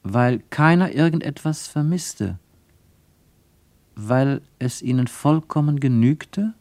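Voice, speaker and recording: A man narrating a literary radio play with expressive, deliberate phrasing. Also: medium pitch, no echo.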